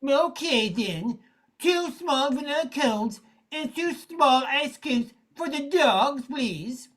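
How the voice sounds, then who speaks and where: A woman's voice: high-pitched, expressive, slow pace, a bit bright, full character voice. A woman playing a friendly but harried male dog owner, polite and apologetic, in an amateur radio play.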